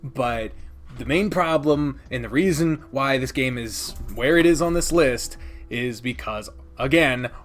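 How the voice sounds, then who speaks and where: A man's voice: medium pitch, unhurried, faint music behind. A man giving a casual, opinionated countdown review of games, rambling and emphatic with plenty of swearing. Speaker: video host and game reviewer.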